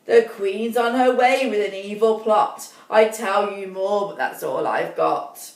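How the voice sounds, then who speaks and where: A woman's voice: medium pitch, unhurried, lightly acted. Woman as a dramatic rhyming magic mirror, roomy sound. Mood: urgent, dramatic. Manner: speaks in rhyme, dramatic. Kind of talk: children's storybook reading. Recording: roomy, distant microphone.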